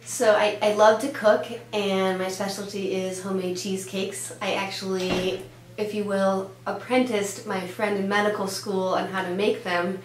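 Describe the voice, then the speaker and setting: A woman's voice: mid-range voice, flat delivery, moderate pace. Woman, 30s, from Denmark, Danish first language, pediatrician, casual chatty answers, echoey room. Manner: chatty, with frequent "uh" and "um" fillers. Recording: echoey room with faint hum.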